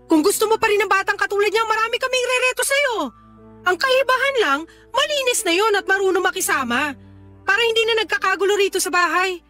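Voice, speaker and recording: A woman's voice: very high voice, quick pace, pushed and bright, faint music behind. A Filipino actress playing an insistent, pleading, scheming girlfriend in an emotional Tagalog radio drama. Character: pleading, scheming first girlfriend in a polyamorous household.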